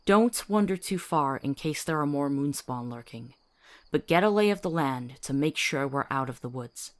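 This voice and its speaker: A woman's voice: deep voice, animated, normal speed. A woman playing a principled, capable healer in a fantasy drama, articulate, authoritative and dryly witty. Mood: brisk, commanding. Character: firm, principled female healer, capable and wry. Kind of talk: fantasy audio drama acting.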